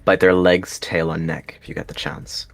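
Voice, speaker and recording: A man's voice: low voice, little pitch movement, moderate pace, creaky, faint music behind. A man voicing a stern, intense warrior cat mentor who gives commanding instructions in a roleplay game.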